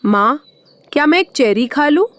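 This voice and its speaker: A woman's voice: sits high, lively intonation, normal speed, no echo. Woman doing an eager young boy chick. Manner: eager, childlike.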